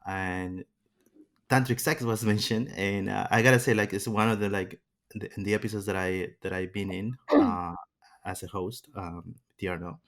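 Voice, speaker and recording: A man's voice: on the low side, expressive, moderate pace. A man hosting a podcast, talking in a loose, rambling way with many restarts. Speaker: podcast host. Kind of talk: casual podcast chat.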